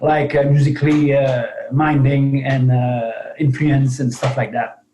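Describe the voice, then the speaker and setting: A man's voice: medium pitch, little pitch movement, measured pace, dark tone, roomy sound, slight background noise. A man from the Magdalen Islands with an Acadian French accent, a native French speaker talking hesitantly in English, with many fillers, over a video call. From Magdalen Islands, Quebec. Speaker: band member, interviewee.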